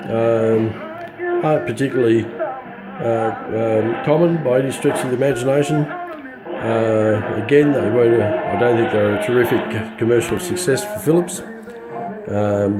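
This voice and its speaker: An Australian man giving an informal hands-on product walkthrough in a halting, understated way, his voice slightly scratchy as he gets over influenza. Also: fairly low pitch. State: recovering from influenza. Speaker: radio hobbyist reviewer.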